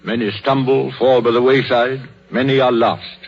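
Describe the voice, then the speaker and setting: Man playing a husky old British minister, solemn and fatherly. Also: medium pitch, flat delivery, close to an everyday voice. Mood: solemn, philosophical. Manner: measured, solemn, preaching gently. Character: crippled old minister, gentle, philosophical, fatherly. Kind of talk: 1930s radio drama acting. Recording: dull, muffled 1930s radio recording.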